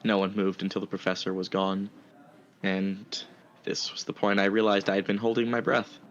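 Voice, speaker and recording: A man's voice: fairly low pitch, level tone, normal speed, lo-fi sound, close to an everyday voice. A man playing a sympathetic, smitten art student who tells his story in an earnest, tender manner. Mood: tender storytelling. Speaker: narrator and actor in audio fiction. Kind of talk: first-person story narration and dialogue.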